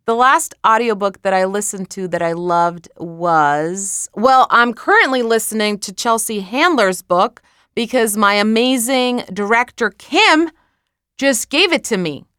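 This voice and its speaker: Croatian accent woman, chatty and poking fun at herself, smooth clear voice, dry close mic. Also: mid-range voice, measured pace, no echo. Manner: lively, self-mocking, laughs at her own slips. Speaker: author. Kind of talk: casual talk about recording her audiobook.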